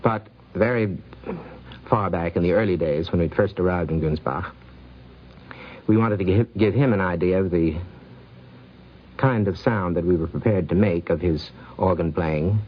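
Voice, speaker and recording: A man with a very low voice recounts anecdotes in an unhurried, reflective manner. The recording is dull and lo-fi, from 1950s radio. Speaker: film producer.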